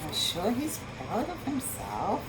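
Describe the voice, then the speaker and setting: A woman reading a picture book aloud to children in an expressive storytelling style, with marvelling asides and questions to her young listeners. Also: mid-range voice, slow, noisy background.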